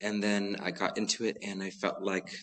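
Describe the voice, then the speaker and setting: Podcast guest: a low-pitched man in his thirties from California, articulate and relaxed in how he explains. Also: normal speed. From San Diego, California, US. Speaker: guest attorney. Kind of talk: podcast interview.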